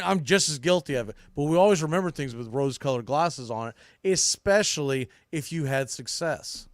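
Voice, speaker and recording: A man's voice: fairly high pitch, dry recording, clean studio sound. A man hosting a sports podcast, giving casual, opinionated commentary in an informal, emphatic way.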